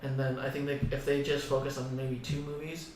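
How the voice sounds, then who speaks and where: A man co-hosting a casual gaming podcast, thinking aloud in a halting, tentative way. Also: medium pitch, flat delivery, a little mellow, far from the mic. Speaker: podcast co-host. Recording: very echoey, off-mic, faint room noise.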